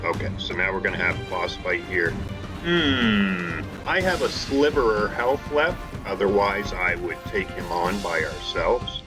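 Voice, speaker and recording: A man giving excited live commentary over his gameplay, talking to his viewers and shouting during fights. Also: low voice, unhurried. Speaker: gaming channel host. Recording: game music behind voice.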